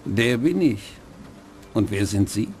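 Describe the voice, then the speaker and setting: Smug, mocking club owner. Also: male voice, lowish voice, lively intonation, takes it slowly, a little mellow, a little hiss or hum. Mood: condescending. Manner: smug, condescending, drawling. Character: smug male nightclub owner, worldly and mocking. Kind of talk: dubbed game voice acting.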